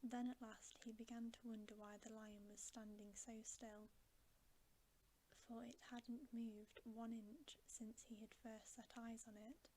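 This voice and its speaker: A woman whispering a bedtime story in ASMR style, breathy, gentle and hushed. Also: a little high, flat delivery, unhurried, slight background noise, low-quality recording. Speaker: ASMR reader.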